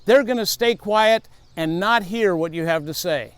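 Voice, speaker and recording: A man's voice: high-pitched, normal speed, smooth, dry recording. A middle-aged man, a safety speaker, giving earnest advice and telling stories from his past. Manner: earnest, advising, storytelling. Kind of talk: speaking tip to camera.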